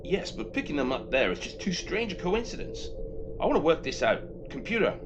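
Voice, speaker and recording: A man's voice: fairly high pitch, sing-song, fairly quick. A man playing a cocky, impatient spaceship rogue in an amateur audio drama, swaggering, slangy and easily exasperated. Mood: baffled, impatient. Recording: dull lo-fi audio, background noise.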